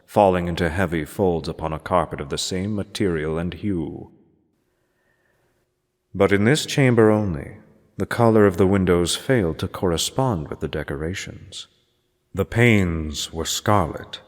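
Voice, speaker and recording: Deep-voiced man narrating in long reverb. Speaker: amateur reader of a horror story. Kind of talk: dramatic scripted narration of gothic literature.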